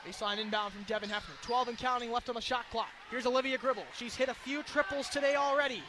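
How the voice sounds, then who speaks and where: A man doing excited, projected radio play-by-play of basketball in short, clipped, rapid-fire phrases. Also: very high-pitched. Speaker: play-by-play commentator. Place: indoor basketball arena. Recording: loud crowd and gym noise. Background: crowd noise and bouncing basketball.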